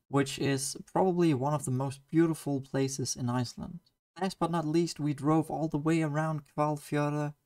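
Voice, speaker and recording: A man's voice: mid-range voice, a little mellow. A young man with a Danish accent, narrating a travel diary in an earnest, enthusiastic voice-over. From Denmark. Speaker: student vlogger.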